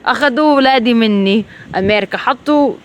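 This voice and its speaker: A woman's voice: on the high side, very fast, slight background noise. A woman with a rural Levantine Arabic accent in her Arabic, talking to herself in an emotional, profane, rambling monologue that jumps between Arabic and English. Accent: rural Levantine Arabic when speaking Arabic.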